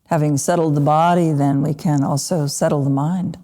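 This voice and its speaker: A woman teaching a guided meditation, speaking in short, unhurried phrases with gentle pauses. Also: deep voice, fairly even intonation. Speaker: Buddhist meditation teacher (lama).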